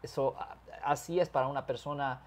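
Talkative California-born lawyer explaining law. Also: male voice, mid-range voice, fairly even intonation, fairly quick. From California, USA. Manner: explains at length, mixes English words into Spanish. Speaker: criminal defense lawyer. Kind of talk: conversational legal explanation.

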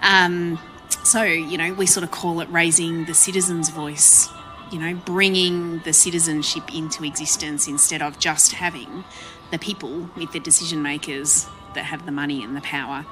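An Australian woman speaking on a public panel, chatty and relaxed, with many ums. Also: low voice, moderate pace, over music, low-quality recording. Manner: chatty, frequent ums, laughs easily. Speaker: panel guest, council presiding member. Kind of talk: panel discussion talk.

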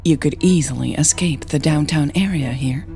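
A woman narrating scripted fiction in a soft, breathy voice. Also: low-pitched, normal speed, over music.

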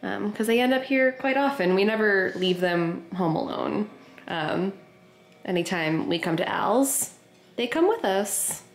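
A woman vlogger in her thirties talks casually and playfully at a mid pitch. The room echoes around her, and there is faint noise behind. She holds the camera at arm's length at home. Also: expressive, measured pace. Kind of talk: casual vlog chat. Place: home kitchen and dining room, indoors. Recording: roomy echo, light background noise.

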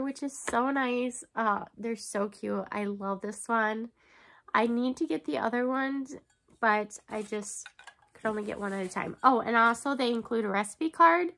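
A woman in her thirties chats excitedly to a close camera in a book-filled room at home, her nose stuffed up. Her voice sits a little high with a gravelly, creaky edge, and a faint hiss lies under it. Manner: chatty and excitable, many ums and restarts. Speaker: book box unboxing vlogger. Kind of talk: casual unboxing chat. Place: bookshelf-lined room at home.

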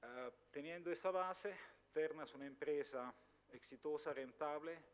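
A man's voice: fairly high pitch, normal speed, clean tone. A man, giving a formal conference presentation in a language that is not his first, hesitant and full of filler sounds, seated at a table microphone in a large hall. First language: Italian. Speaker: company executive speaker.

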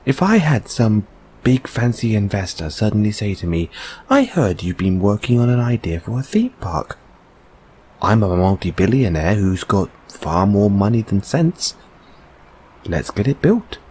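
A man playing a gentle, encouraging boyfriend in a comfort audio roleplay, speaking softly and haltingly with reassuring tag questions. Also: fairly low pitch, very animated, slightly rough, full character voice. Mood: musing.

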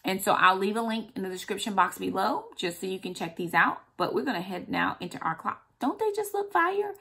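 A woman's voice: mid-range voice, animated, brisk, sharp tone, slightly rough. A woman in her forties chatting casually to camera as a home vlogger, upbeat and saying y'all, filmed in a walk-in closet at home.

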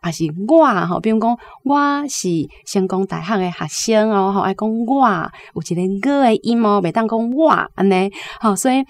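A woman's voice: medium pitch, expressive, moderate pace, few pauses. A middle-aged woman with a Taiwanese accent explaining with examples in a teacherly way. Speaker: university teacher. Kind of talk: explanatory commentary.

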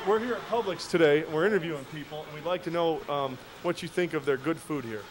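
A man's voice: on the high side, quick pace, a little hiss or hum, poor audio. A brash, joking man hosting a prank show, doing informal street interviews and commentary on location. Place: on location out in public.

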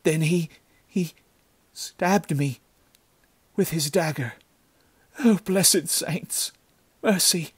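A man voicing a frightened, dying young village girl in a storybook reading, with weak, breathy gasps. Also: a little high, extremely slow, long pauses, no room sound, pitched above the performer's own voice, full character voice. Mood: agonized, pleading.

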